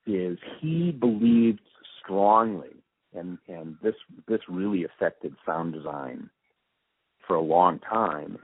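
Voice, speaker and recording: Male interviewee, low and husky, emphatic storyteller recalling past work, narrow telephone sound. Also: no room sound. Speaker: interviewee, radio drama post-production supervisor. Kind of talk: reminiscing in an interview. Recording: muffled phone line, dry.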